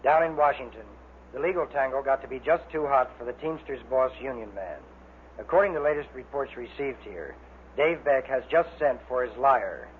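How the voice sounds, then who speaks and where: A man's voice: medium pitch, animated, moderate pace, a little hiss or hum. Male newsreader on tinny old radio. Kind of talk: vintage scripted news reading.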